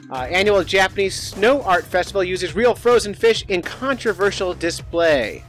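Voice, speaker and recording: A man with a slightly high voice hosts a casual podcast. He talks informally and thinks aloud, with a joking touch as he works through news items. Music is audible with his voice. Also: a bit bright. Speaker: podcast host.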